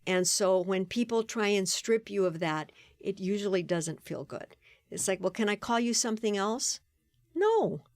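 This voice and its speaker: A woman speaking as a podcast guest, recounting stories with animation and frequent laughter. Her voice sits in a middle pitch and is recorded dry and close, with no room sound. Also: moderate pace, no room sound. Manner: storytelling, animated, laughs often. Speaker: guest, playwright and performer. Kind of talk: podcast interview.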